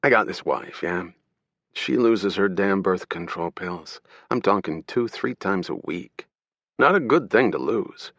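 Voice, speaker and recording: A man's voice: low-pitched, animated, measured pace, a bit bright, no echo, exaggerated voice. A man voicing a sardonic male federal agent who jokes while talking shop. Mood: wry. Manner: sardonic, joking. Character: wisecracking male federal agent, buzz cut.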